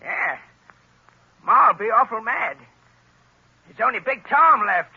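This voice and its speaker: A creaky, high-voiced hillbilly hunchback. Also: male voice, lively intonation, slow pace, full character voice. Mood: slow-witted. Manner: dim, drawling, backwoods grammar. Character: simple-minded hillbilly hunchback man with dogs. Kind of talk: 1940s radio acting. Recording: lo-fi old radio broadcast.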